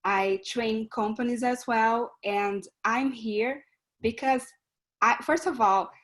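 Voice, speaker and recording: A woman's voice: on the high side, takes it slowly. Brazilian woman, earnest and a little hesitant. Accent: Brazilian Portuguese. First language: Portuguese. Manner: earnest, slightly hesitant, with restarts. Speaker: club member introducing herself. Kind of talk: self-introduction speech. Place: online meeting. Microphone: video call.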